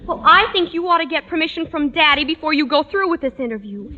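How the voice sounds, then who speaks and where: A bright, high-pitched schoolgirl in a 1940s radio comedy. She is earnest and chatty, heard through muffled old broadcast sound. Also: expressive, quick pace, big-room sound, slight background noise. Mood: wary, earnest. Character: young schoolgirl, earnest, chatty, principal's daughter.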